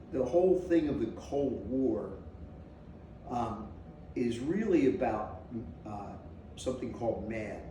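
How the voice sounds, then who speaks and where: A man in his sixties from New Jersey, recalling his past in a rambling, halting way during an unscripted interview. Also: medium pitch, mellow. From New Jersey, USA. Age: 60s. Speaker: interviewee sharing memories. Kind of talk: unscripted oral-history recollection. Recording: echoey room, mic at a distance, faint noise.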